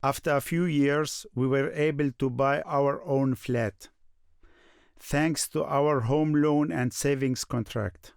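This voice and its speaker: A man's voice: medium pitch, steady tone, slow pace, creaky, clean tone, no echo, studio quality. A man voicing a Syrian husband and father recalling family life in scripted first-person narration. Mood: reflective.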